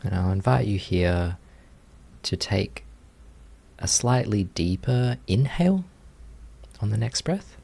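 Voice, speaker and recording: A man leading a guided meditation as a teacher, speaking gently and invitingly with long, unhurried pauses. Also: lowish voice, animated, vocal fry, slightly rough.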